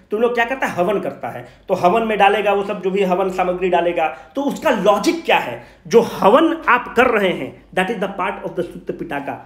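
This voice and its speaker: A man teaching an informal exam-coaching class, emphatic and repetitive, posing questions he answers himself and mixing in English phrases. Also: sits high. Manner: emphatic, repeats key points; mixes English phrases into Hindi. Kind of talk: informal history lecture with rhetorical questions. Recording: echoey room.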